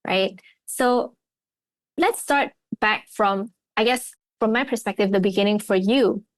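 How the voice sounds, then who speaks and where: A woman's voice: mid-range voice, a little slow, no room sound. A young woman hosting a podcast interview, chatty and friendly, with many fillers. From Malaysia. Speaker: podcast host. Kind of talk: casual interview chat.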